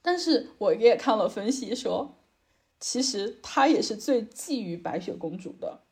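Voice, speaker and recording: A woman's voice: on the high side, big pitch swings, measured pace, a little mellow. A woman co-hosting a podcast, chatting casually and emphatically, often checking for agreement with tag questions. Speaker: podcast co-host. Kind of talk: casual chat, opinionated commentary.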